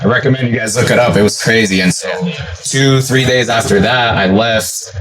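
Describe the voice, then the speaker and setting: A man's voice: mid-range voice, normal speed, over a noisy crowd, rough recording. A man in his twenties from Lebanon, a podcast guest telling his story with earnest, eager energy. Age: 20s. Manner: eager, earnest, frequent "right?" and "you know". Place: car at a convention, crowd outside.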